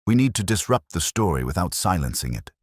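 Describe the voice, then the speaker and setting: A man voicing a patient, shrewd police inspector in a measured, understated way for an audio detective story. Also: low-pitched, big pitch swings, husky, dry recording, studio quality, heavily acted. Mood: measured, firm.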